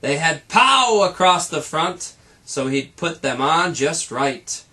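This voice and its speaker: A man's voice: on the high side, slow pace, pushed and bright, breathy edge. A man reading a children's picture book aloud like a teacher, with rhythmic, dramatic delivery and playful asides.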